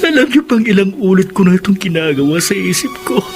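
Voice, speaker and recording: A man plays a young adopted son in an audio drama, high-voiced and full of anguish. He pleads in broken phrases, sunk in remorse and self-loathing, with music playing clearly behind him. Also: animated, fast talker. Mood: anguished, pleading. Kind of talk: dramatic Tagalog audio story acting.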